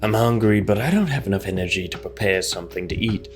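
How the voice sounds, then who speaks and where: Low-voiced man reading aloud, music behind. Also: fast. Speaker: gaming video host. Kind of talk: reading visual novel narration aloud with casual commentary.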